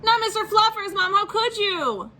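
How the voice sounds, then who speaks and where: A woman's voice: very high voice, lively intonation, moderate pace, pushed and bright, slight background noise, voice pushed up, full character voice. A woman voicing a dramatic seven-year-old boy who pleads and bargains over his toys. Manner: whiny, theatrical pleading. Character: dramatic young boy, about seven, toy-loving.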